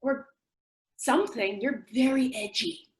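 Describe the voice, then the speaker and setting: A blunt, sharp-tongued sister , played by a woman in a stage drama. Her voice is high, and she needles people casually, with no softening. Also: unhurried. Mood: teasing. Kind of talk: staged play reading.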